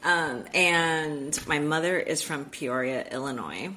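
A middle-aged woman from Miami, a guest author telling family stories in a chatty, animated way. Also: low-pitched, takes it slowly. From Miami, Florida. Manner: chatty, animated, acts out quoted dialogue with restarts. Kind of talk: casual storytelling interview.

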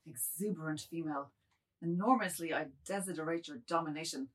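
A woman's voice: low voice, brisk, husky. A woman playing a submissive, wordy, fretful aging man, speaking florid lines in a dramatised literary reading. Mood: adoring submission. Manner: florid, meek, rambling.

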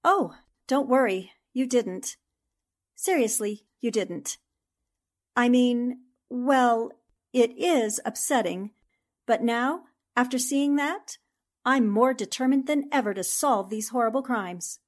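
An actress playing a brave, sharp young newspaper reporter in a 1940s-style radio mystery, speaking in an earnest, theatrical way. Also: female voice, on the high side, slow. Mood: earnest, determined.

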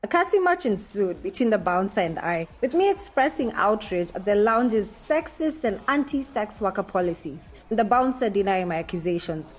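A woman's voice: mid-range voice, animated, moderate pace, hardly any pauses. Woman reading a first-person essay.

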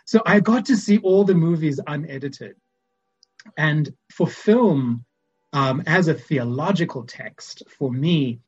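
A man's voice: medium pitch, breathy edge, dull audio. A man from Zimbabwe with a Zimbabwean accent, a priest speaking as a podcast guest in a thoughtful, searching way. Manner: thoughtful, searching, with frequent ums. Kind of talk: reflective conversational podcast talk.

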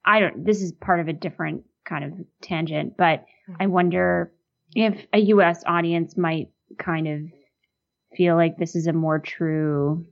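A woman's voice: lowish voice, steady tone, unhurried, creaky. A woman guest on a podcast, thinking aloud with enthusiasm, heard over a dull, lo-fi remote line. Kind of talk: casual podcast discussion.

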